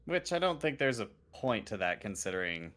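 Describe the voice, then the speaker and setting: A man's voice: fairly low pitch, big pitch swings, brisk, a bit bright. A man chatting casually as a player in an online tabletop roleplay game.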